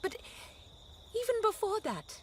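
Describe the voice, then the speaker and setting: A woman's voice: extremely high pitch, wildly swooping pitch, normal speed, a little hiss or hum, full character voice. An actress playing an earnest young wife and mother who is resolved to break free of her marriage in a radio drama. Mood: agitated, defiant.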